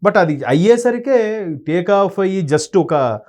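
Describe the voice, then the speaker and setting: A man's voice: high voice, expressive, slow pace, darkish tone. A man speaking as an analyst guest, talking in a rambling, sombre way with many restarts. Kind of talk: conversational commentary.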